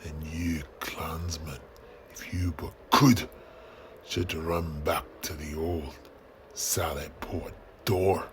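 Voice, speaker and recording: A man voicing a menacing, bloodthirsty warrior king in a fantasy tale, theatrical and threatening, in a breathy growl. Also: rumbling low, flat delivery, takes it slowly, a little hiss or hum.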